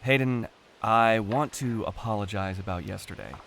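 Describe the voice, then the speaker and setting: A man's voice: on the low side, normal speed, vocal fry, a bit bright, slight background noise. A man playing a strict but loving father of a teenager in an audio drama, earnest and lecturing.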